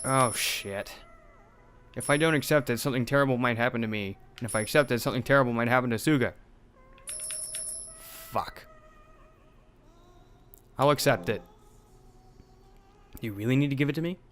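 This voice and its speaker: A man's voice: mid-range voice, moderate pace, pauses often, a bit bright, faint music behind. A young man hosting a let's play, reading out a horror game's dialogue with some drama and swearing in asides. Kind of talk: reading game text aloud with commentary.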